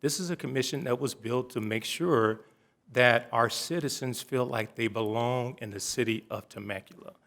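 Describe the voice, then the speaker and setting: A middle-aged man giving earnest, halting remarks as a commission member at a city council meeting, with frequent restarts. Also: medium pitch, gravelly, a bit bright.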